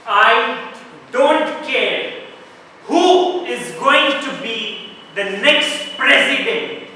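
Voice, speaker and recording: A man plays an aggrieved church delegate confronting authority. His high voice is blunt and formal, stretched out very slowly. It carries from far off in a strongly echoing hall. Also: expressive, sharp tone, full character voice. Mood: defiant. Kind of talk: declamatory amateur stage acting. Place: large echoing hall, stage performance. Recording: echoey hall from far off, background noise.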